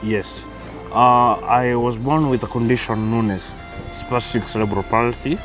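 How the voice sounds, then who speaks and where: A man's voice: medium pitch, steady tone, unhurried, fairly bright tone, faint music behind. A man in his thirties with a Kenyan accent, a guest telling his life story, who hesitates and repeats words as he starts. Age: 30s. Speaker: interview guest.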